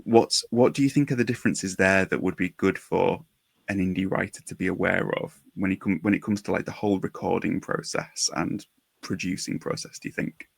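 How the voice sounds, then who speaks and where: A man's voice: sits low, steady tone, a little fast, vocal fry, breathy edge, dry recording. A man hosting a conversational interview, working through long, exploratory questions and restating as he goes. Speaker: podcast host, interviewer.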